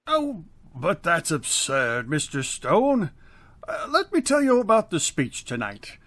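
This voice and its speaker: A man performs a grouchy, pompous male school principal in an old-time radio comedy. He talks slowly and haltingly, swooping widely in pitch. The sound is close and dry. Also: wildly swooping pitch, a bit bright, no echo, exaggerated voice. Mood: flustered, pompous. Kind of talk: 1950s radio comedy acting.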